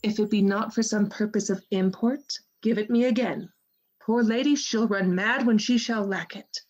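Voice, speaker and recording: A woman's voice: medium pitch, moderate pace, darkish tone, slight background noise, lightly acted. A woman playing a teasing yet uneasy maidservant and wife in a Shakespearean radio drama. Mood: uneasy pleading. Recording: dull, muffled lo-fi sound.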